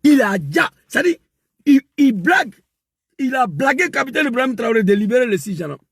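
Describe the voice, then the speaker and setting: Man, gravelly, dry close sound, fiery political rant. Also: very high voice, big pitch swings, a little fast, dry recording. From West Africa. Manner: emphatic, repetitive, rising to shouts. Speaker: political commentator.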